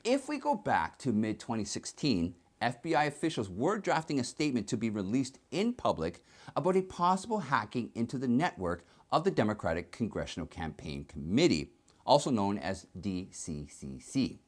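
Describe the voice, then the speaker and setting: A man's voice: medium pitch, very animated, moderate pace. A man presenting scripted political news commentary in an emphatic, explanatory manner.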